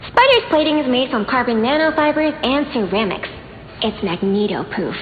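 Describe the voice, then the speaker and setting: High-voiced confident mech pilot. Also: female voice, big pitch swings, moderate pace. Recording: muffled, roomy echo, faint noise.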